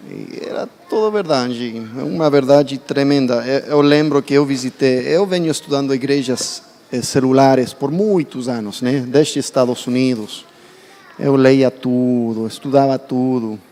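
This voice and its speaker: A man's voice: mid-range voice, unhurried, dark tone. Man preaching in a church hall. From United States. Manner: conversational, asks the congregation questions. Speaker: guest preacher. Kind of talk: sermon.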